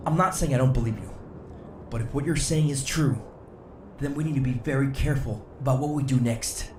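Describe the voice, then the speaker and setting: An actor playing a cautious senior commander in a sci-fi audio drama, measured and authoritative. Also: male voice, mid-range voice, a little mellow, close to an everyday voice. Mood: wary. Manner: measured, firm. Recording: echoey room, clear ambient noise.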